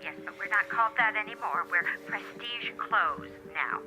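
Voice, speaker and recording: Animated folksy American receptionist played by a woman. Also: medium pitch, moderate pace, music in the background, close to an everyday voice. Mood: chirpy, helpful. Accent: American Midwestern. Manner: folksy, chatty. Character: chirpy, folksy American receptionist, easily flustered. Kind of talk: audio drama acting.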